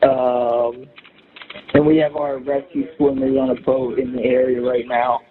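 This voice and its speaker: A man phones in an emergency report in a businesslike but hesitant way. His voice sits at a medium pitch, squeezed through a narrow phone line with light noise on it. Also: level tone, a little slow. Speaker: caller reporting an emergency, security command post. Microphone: telephone.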